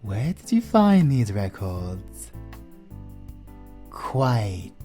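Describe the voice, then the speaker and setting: A British man playing an ancient, suave vampire lord in an intimate horror audio roleplay, menacing and flirtatious, with deliberate, teasing delivery that often drops to a whisper. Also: fairly low pitch, very animated, dark tone, soft background music, exaggerated voice. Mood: cool, probing.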